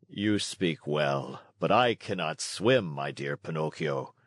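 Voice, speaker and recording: A man voicing a weary, gentle old father with a measured, tender delivery in a storybook read-along. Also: very deep voice, lively intonation, dry recording, studio quality, full character voice. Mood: doubtful, gentle. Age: older.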